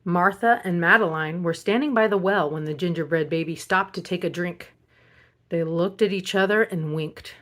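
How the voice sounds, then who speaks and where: A woman reading a picture book aloud as a storyteller, in an expressive children's narration style. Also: on the low side, moderate pace. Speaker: storybook reader.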